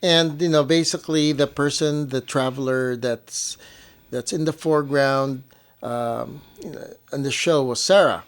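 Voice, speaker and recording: Medium-pitched man explaining casually. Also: fairly even intonation, measured pace. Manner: informal, repeats and rephrases to clarify. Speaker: show co-host.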